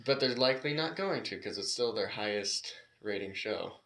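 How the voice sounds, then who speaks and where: Low-voiced laid-back man chatting. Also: expressive, moderate pace, bright, forceful tone. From California. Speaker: podcast co-host. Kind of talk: casual chat.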